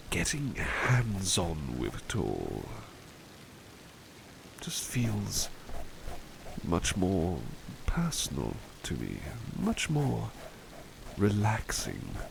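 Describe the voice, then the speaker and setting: A man playing a relaxed, polite, old-fashioned butler in a soft-spoken ASMR roleplay, musing hesitantly in formal wording. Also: deep voice, creaky, noisy background, exaggerated voice.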